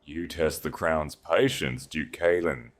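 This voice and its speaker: A man's voice: very deep voice, takes it slowly. A man voicing a sneering, cruel royal enforcer in an audiobook, menacing and commanding. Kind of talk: audiobook character voice.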